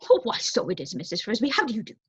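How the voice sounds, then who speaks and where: Lady doing a kindly tired old man mouse from a storybook, measured, husky, muffled. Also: fairly high pitch, wildly swooping pitch, heavily acted. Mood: startled, cordial. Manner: measured, cordial, reassuring. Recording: roomy echo, dull muffled sound.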